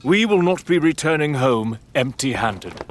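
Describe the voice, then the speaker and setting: An imperious lord of a gothic manor, defiant and full of sardonic scorn. His delivery is grand and theatrical at a medium pitch, in audio drama style, over faint background noise. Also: sing-song, measured pace. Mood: grimly resolute. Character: haughty, defiant, gambling English lord of the manor.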